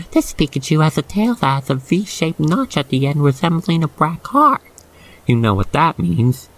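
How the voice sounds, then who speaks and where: Animated, creaky-voiced roleplay narrator. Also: mid-range voice, poor audio. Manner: expressive, gushing, sentimental. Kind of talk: ASMR roleplay storytelling, addressing the listener.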